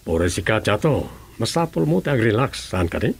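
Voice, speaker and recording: An actor with a Filipino accent playing a weary, authoritative governor in a radio drama. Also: male voice, fairly low pitch, very animated, brisk, vocal fry, slightly rough, full character voice. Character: authoritative male governor, weary, advising his staff. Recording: faint background noise and ambience.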